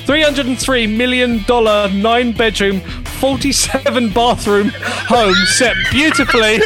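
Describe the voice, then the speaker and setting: A high-voiced man hosts a comedy podcast, reading a gossip segment aloud and laughing through it. Music plays clearly under his voice. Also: unhurried. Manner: giggly, keeps laughing mid-sentence. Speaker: podcast host.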